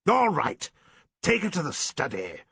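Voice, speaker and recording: A man voicing a gruff, blustering older country squire in an audiobook reading. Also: on the high side, huge pitch swings, a little slow, a bit bright, dry recording, voice pushed up, heavily acted.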